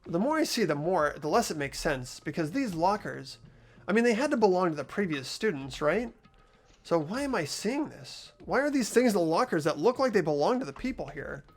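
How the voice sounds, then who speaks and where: Earnest, worried teenage investigator for a game, played by a man: highish pitch, lively intonation. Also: fairly quick, faint music behind, heavily acted. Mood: troubled, questioning. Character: earnest, anxious teenage boy narrating his investigation. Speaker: host of playthrough. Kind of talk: amateur game voice acting.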